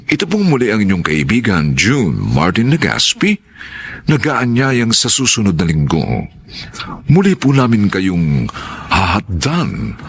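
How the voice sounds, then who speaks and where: A low, husky man hosts a radio drama. He delivers formal announcements slowly and declaims them, stretching the ends of phrases with dramatic flair. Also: wildly swooping pitch.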